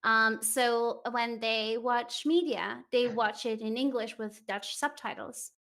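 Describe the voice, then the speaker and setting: Woman from Austria, higher voice, animated and informal company livestream talk. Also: takes it slowly. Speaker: company communication manager, livestream participant. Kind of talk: casual chat.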